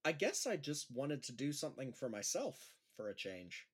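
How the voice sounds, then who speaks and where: A man's voice: medium pitch, very animated, moderate pace, breathy edge. A man playing an awkward, self-deprecating schoolteacher in a naturalistic audio drama, with a hesitant manner. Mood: earnest, wistful. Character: awkward, self-deprecating male schoolteacher trying stand-up comedy.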